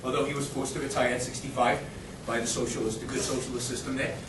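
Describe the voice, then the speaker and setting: A man's voice: medium pitch, flat delivery, fast. Guest speaker, middle-aged man; insistent and restless, recorded in a noisy, reverberant room. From Israel. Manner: emphatic and argumentative, with frequent restarts. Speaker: guest speaker answering audience questions. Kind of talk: impassioned lecture Q&A. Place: university lecture hall. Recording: echoey noisy hall from far off.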